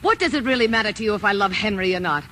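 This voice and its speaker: An American actress playing a spoiled, willful young heiress in 1940s radio drama style. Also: female voice, medium pitch, animated, very fast, bright, forceful tone, a little hiss or hum. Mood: defiant. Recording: old radio broadcast, music underneath.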